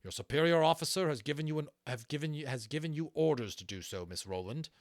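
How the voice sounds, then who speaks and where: A man's voice: on the high side, animated, quick pace, no echo, clean studio sound, pitched above the performer's own voice. A man acting a stern, dutiful and courteous military captain in an audiobook, formal and firm.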